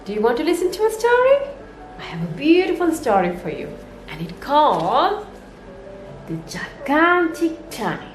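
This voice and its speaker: A woman reading a children's story aloud as a nursery storyteller, in an expressive, sing-song way meant for young children. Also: high voice, normal speed. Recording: roomy echo, music underneath.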